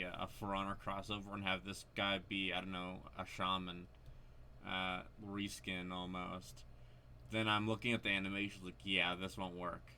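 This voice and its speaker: A man's voice: on the low side, bright, forceful tone, a little hiss or hum. A man giving casual, unscripted game commentary, hesitant and rambling as he thinks aloud. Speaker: video creator commenting on a horror game.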